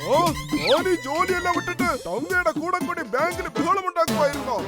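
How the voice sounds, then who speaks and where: A stingy, grumbling cartoon boss, very high in pitch. The delivery is haughty and scolding, over loud music. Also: fairly quick. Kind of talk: exaggerated cartoon voice acting.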